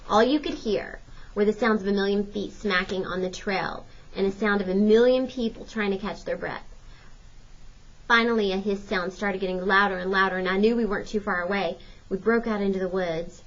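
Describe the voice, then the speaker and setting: A woman with a mid-pitched voice reads a storybook narrative aloud in the role of a teacher. The audio is slightly dull and lo-fi. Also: a bit bright. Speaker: teacher reading a novel aloud.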